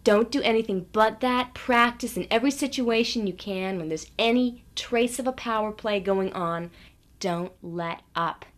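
A woman playing a brisk, condescending seminar leader in a satirical radio lecture, coaxing her listeners. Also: a little high, natural voice. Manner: patronizing, coaxing the audience.

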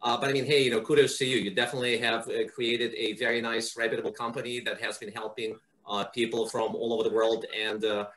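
A man's voice: medium pitch, flat delivery, quick pace, hardly any pauses, fairly bright tone, poor audio. A middle-aged man hosting an interview over a video call, asking long, wordy questions and praising his guest. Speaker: interview host. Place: home office indoors.

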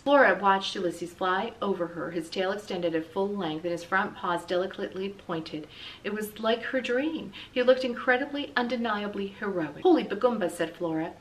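A middle-aged woman reads a children's story aloud with expressive, dramatic, deliberate emphasis. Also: mid-range voice. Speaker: school read-aloud reader. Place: small indoor room with bookshelf and blinds. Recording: distant mic, light room noise.

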